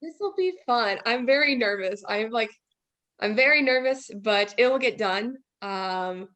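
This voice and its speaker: A woman's voice: fairly high pitch, expressive, measured pace, a bit bright. A woman in her early twenties chatting casually as a guest student teacher. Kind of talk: casual chat.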